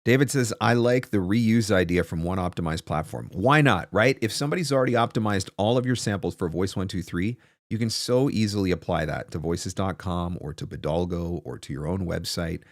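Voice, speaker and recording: Low-voiced man, dry close studio mic. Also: fairly quick, no echo. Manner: emphatic, rhetorical questions, repeats key phrases for stress. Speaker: host, voice actor coach. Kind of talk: casual livestream Q&A advice. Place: small home studio, indoors. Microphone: close desk broadcast microphone.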